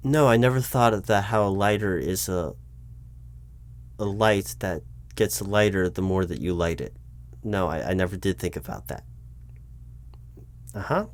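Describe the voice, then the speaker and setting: A man playing a job interviewer in an ASMR roleplay, patient but baffled, with a dry, halting delivery full of restarts. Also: low-pitched. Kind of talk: ASMR roleplay, spoken aloud, not whispered.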